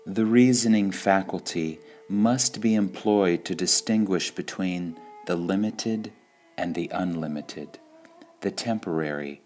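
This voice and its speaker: A man reading spiritual sayings aloud in a calm, measured way, pausing between phrases. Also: sits low, faint music behind. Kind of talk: slow meditative reading of quotations.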